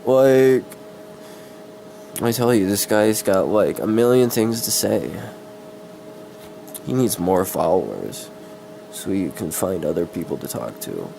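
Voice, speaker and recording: A man with a cold doing a dramatic story reading, halting and easily distracted, with casual swearing. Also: sits low, animated, vocal fry, background noise. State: sick with a cold.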